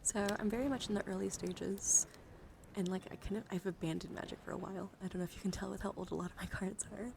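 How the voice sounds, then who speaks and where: Soft-spoken ASMR woman with creaky voice. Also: mid-range voice, steady tone, quick pace, background noise. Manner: soft-spoken, often dropping into a whisper, casual chatter. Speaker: live streamer hosting a trading card stream. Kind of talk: ASMR, casual card show-and-tell.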